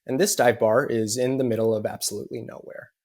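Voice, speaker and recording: A man's voice: medium pitch, fairly even intonation, quick pace, gravelly. Earnest American man telling a story. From United States. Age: adult with children. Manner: earnest, many "you know" fillers. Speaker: podcast guest. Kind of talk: personal storytelling.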